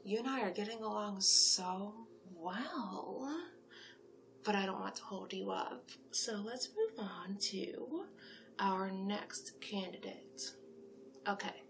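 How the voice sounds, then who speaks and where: A woman's voice: mid-range voice, slow pace, gravelly, pauses often, background noise. A woman playing a chatty, encouraging monster matchmaker in a confiding, gushing one-sided ASMR roleplay. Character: chatty, encouraging female monster matchmaker pitching candidates to a client.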